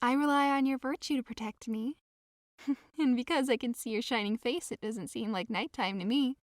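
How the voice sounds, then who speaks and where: A devoted, lovesick young woman played by an actress in an audio drama. She pleads with earnest theatrical feeling in a fairly high voice, recorded close with no room sound. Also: moderate pace, dry recording, close to an everyday voice. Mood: adoring.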